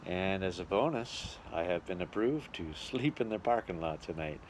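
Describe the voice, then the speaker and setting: A man's voice: low voice, expressive, moderate pace. A man talks casually to his video diary. He is chatty and rambling, as if amusing himself, with faint noise around him. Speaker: travelling musical entertainer and vlogger.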